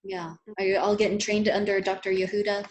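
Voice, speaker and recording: A woman's voice: mid-range voice, a little fast, slight background noise. Friendly female webinar host. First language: Vietnamese. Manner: friendly, encouraging, relaxed. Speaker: therapy trainer, webinar host. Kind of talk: webinar wrap-up chat. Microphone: video call.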